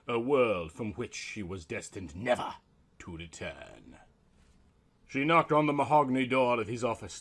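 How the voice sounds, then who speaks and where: A man's voice: mid-range voice, a bit bright, breathy edge. A man narrating a scripted comic story in a melodramatic, mock-serious manner.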